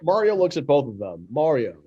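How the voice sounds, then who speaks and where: Mellow man stumbling through stage directions. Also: fairly high pitch, big pitch swings, slightly rough, muffled recording, faint music behind. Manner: halting, stumbles over words. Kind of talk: amateur script read-through.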